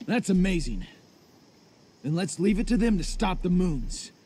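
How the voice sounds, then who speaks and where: A confident martial artist from a fighting game. His voice is high and lively, taken at a slow, easy pace. Faint noise lies behind it. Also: exaggerated voice. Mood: upbeat, confident. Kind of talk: dubbed video game cutscene acting.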